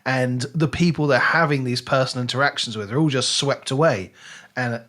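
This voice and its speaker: A man with a medium-pitched voice co-hosts a book podcast in a casual, conversational style. He retells things expressively, slipping into quoted reactions as he makes his point. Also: a little fast, a bit bright.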